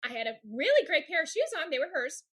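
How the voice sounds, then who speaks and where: A woman's voice: high-pitched, sing-song, fast talker, fairly bright tone. A woman guest on a podcast, telling rambling stories in casual chat, with lots of fillers and laughs.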